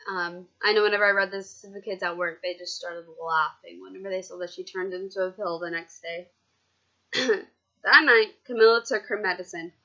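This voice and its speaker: A woman reading a children's picture book aloud in an expressive, informal way, with casual asides. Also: fairly low pitch, normal speed, highs cut off. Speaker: storybook reader.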